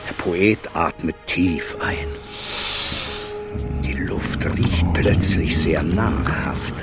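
An actor narrating a radio play as a measured storyteller who savours each phrase. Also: male voice, deep voice, heavily acted. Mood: savouring. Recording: loud music under narration.

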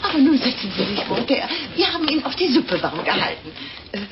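A woman's voice: high-pitched, sing-song, quick pace, noisy background. An actress playing a merry, genteel lady traveller in an old radio play, gushing and chatty. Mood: gracious, eager. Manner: effusive, gushing. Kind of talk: 1950s German radio play acting. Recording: dull old radio recording, crowded room.

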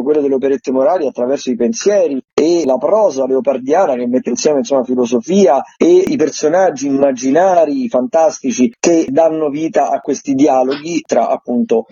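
A man's voice: fairly high pitch, warm tone, breathy edge, dry recording. A man working as a theatre critic, giving an informal, explanatory run-through of listings for radio. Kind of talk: unscripted radio listings review. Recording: muffled lo-fi radio call.

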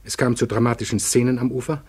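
An actor playing a sober family acquaintance with a husky voice in a German radio play. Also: male voice, mid-range voice, fairly even intonation, quick pace, darkish tone, natural voice. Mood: grave reading. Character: sober family acquaintance gravely reporting bad news.

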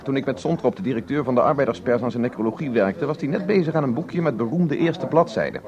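A man acting an indignant, bookish storyteller in a radio play. He rattles along quickly and wordily, in a muffled recording with a busy crowd behind him. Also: mid-range voice, expressive, lightly acted. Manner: wordy, indignant storytelling with quotations. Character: aggrieved, long-winded, bookish husband and radio obituary reporter.

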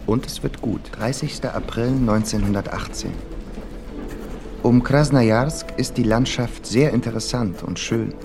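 A man's voice: mid-range voice, a little slow, gravelly, over music. A man playing an observant traveller, reading diary entries as narration in a radio play. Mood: reflective.

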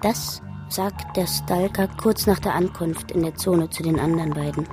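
A woman playing a pensive, innocent figure in a radio drama monologue, musing in short halting phrases. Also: fairly low pitch, lightly acted. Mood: pensive, wistful. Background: music.

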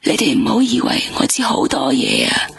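An actress playing a streetwise, sharp-tongued woman from a karaoke bar in a radio drama. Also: rumbling low, huge pitch swings, normal speed, gravelly, husky, no echo, exaggerated voice. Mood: weary. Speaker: witness questioned by police. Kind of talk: Hong Kong radio drama acting.

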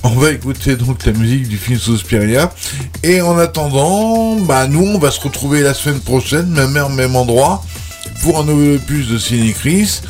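A man's voice: medium pitch, darkish tone. A man hosting a casual radio show about film music, chatty and affectionate as he signs off. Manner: chatty, affectionate, jokey sign-off.

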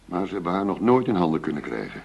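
A man's voice: low-pitched, sing-song, fast, gravelly, darkish tone, husky, lo-fi sound, full character voice. An actor playing a shrewd undercover policeman posing as a tough dealer, firm and businesslike, in a radio drama. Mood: serious, uneasy. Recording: noisy radio play recording with ambience.

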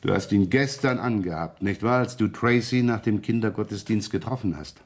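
An actor playing a wily, genial police detective in a German radio crime drama, teasing and probing in a folksy way. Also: male voice, lowish voice, normal speed. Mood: quietly pressing. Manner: folksy, sly questioning with muttered interjections.

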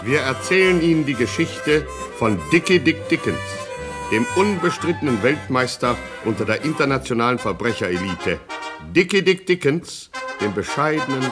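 An actor playing a bombastic storyteller, declaiming a mock-heroic gangster tale as radio narration. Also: male voice, medium pitch, lively intonation, moderate pace, music in the background, close to an everyday voice. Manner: declamatory, grandiose.

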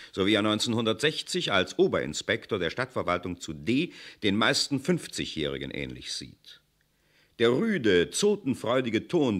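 A man's voice: mid-range voice, fairly bright tone. A man reading the scripted narration and stage directions of a 1960s radio drama.

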